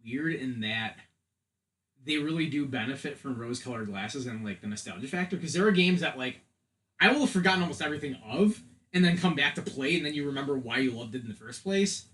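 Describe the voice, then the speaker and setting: Guy on a podcast just chatting, average pitch, rambles, says like a lot. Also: expressive, brisk, fairly bright tone. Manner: rambling, peppered with "like". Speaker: podcast co-host. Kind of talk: casual podcast discussion of films and games.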